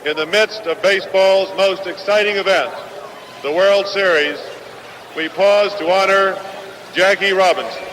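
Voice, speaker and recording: A man delivering a solemn, deliberate ceremonial address as an official at a public event. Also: high-pitched, noisy background. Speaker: sports commissioner at a ceremony.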